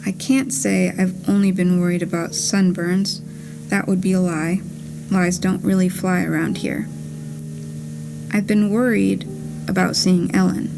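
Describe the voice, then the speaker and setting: A woman reading the part of a wry, anxious, lovesick traveller in a scripted puppet play, in a measured, confessional storytelling manner. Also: lowish voice, level tone, gravelly, poor audio. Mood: wry worry. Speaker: reader performing a puppet play. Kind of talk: scripted first-person storytelling, letter-like monologue. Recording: live theatre reading over guitar music.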